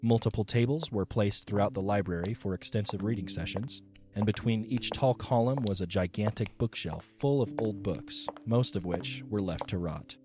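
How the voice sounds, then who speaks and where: Man narrating a story, low voice. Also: normal speed. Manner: even, descriptive reading. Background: music.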